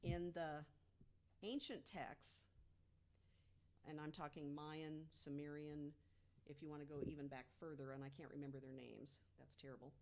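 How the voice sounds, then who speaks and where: A woman informally telling researched material as a podcast panelist, emphatic and deliberate, posing rhetorical questions. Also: deep voice, steady tone, darkish tone, slight background noise.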